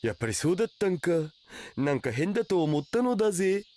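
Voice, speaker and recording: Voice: mid-range voice, big pitch swings, quick pace, darkish tone, natural voice. A performer playing a laid-back, nerdy young male otaku in a Japanese anime radio drama, talking in a casual, slangy way. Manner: casual, lazy drawl with internet slang.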